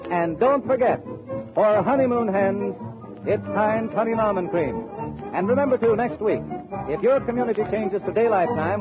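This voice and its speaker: A man with an American accent reading scripted announcements in an old-time radio style. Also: sits high. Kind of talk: 1930s-40s radio announcing, scripted ad read. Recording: tinny old radio broadcast recording. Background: music.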